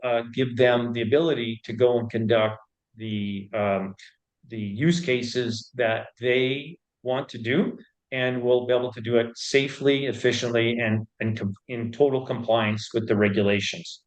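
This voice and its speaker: A man explaining his business in an interview, speaking in halting, piecemeal phrases and often repeating words. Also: mid-range voice, steady tone, poor audio. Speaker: tech company founder and executive being interviewed.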